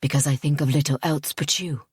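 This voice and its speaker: Woman voicing a haughty, menacing gentleman suitor. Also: on the high side, fairly even intonation, fairly quick, slightly rough, dry recording, studio quality, pitched below the performer's own voice. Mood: fervent. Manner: haughty and clipped. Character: pompous, obsessive gentleman suitor, turning menacing.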